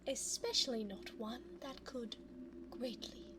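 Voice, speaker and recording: A woman playing a scheming, seductive female vampire in an ASMR audio roleplay, speaking formally and teasingly in a breathy voice that often drops toward a whisper. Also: a little high, noisy background, natural voice. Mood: coolly persuasive. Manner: formal, coy, teasing, drawn-out phrasing. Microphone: close binaural microphone.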